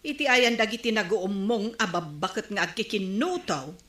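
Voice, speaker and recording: A woman's voice: medium pitch, expressive, moderate pace, a bit bright, reverberant, close to an everyday voice. A woman playing an indignant village neighbour in an Ilocano comedy radio drama, with an Ilocano Filipino accent.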